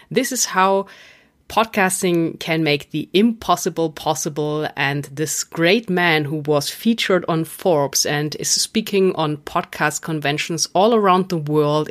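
A woman hosting a podcast interview, introducing her guest in a measured, admiring way. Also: low-pitched. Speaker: podcast host.

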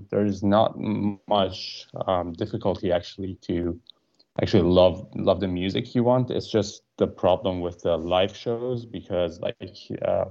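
A young man from Iran with a very deep, mellow voice answers questions hesitantly, stopping often for fillers and restarts. The audio is slightly muffled, like a remote call. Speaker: guitarist and producer. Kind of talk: casual interview answers.

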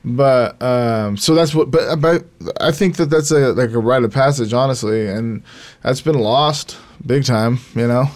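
A man's voice: mid-range voice, measured pace, a little mellow. A man in casual conversation, speaking in a slangy way and often repeating his phrases. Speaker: rapper. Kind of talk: casual chat.

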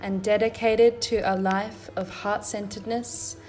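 A woman's voice: mid-range voice, flat delivery, unhurried, darkish tone, low-quality recording. A woman hosting a podcast, giving a casual introduction in an earnest, effusive way. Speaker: podcast host.

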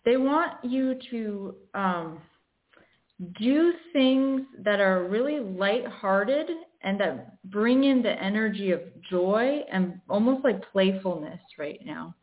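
A woman medium giving intuitive readings to callers, hesitant with many pauses and restarts. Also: medium pitch, animated. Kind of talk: intuitive reading, casual and halting. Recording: phone-like radio line.

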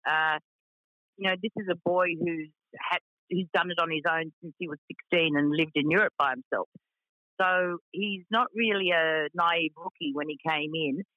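A woman with a deep voice who owns a racing team, talking frankly with lots of fillers in a radio interview. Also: normal speed, no echo, phone-quality sound. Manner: frank, chatty, many fillers.

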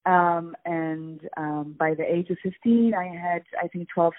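A woman from Poland, an actress, being interviewed over the phone, answering thoughtfully with many ums and pauses. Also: lowish voice, fairly even intonation. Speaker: guest actress. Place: on a phone call. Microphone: telephone. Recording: tinny phone line, dry.

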